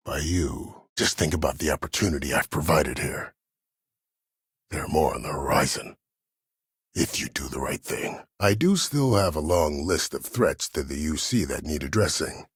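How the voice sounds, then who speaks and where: An actor playing a cold, calculating older military commander in a video game, speaking in a measured, formal, menacing and deliberate way. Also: male voice, a bass voice, husky, dry recording, clean studio sound, full character voice. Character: cold, calculating older male imprisoned war commander, imperious schemer. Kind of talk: video game character dialogue.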